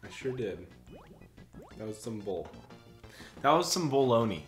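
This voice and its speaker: A man hosting casual gameplay commentary, joking, swearing, and breaking into high-pitched laughter. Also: sing-song, unhurried. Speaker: gaming channel host. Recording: roomy echo with game music behind. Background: game music.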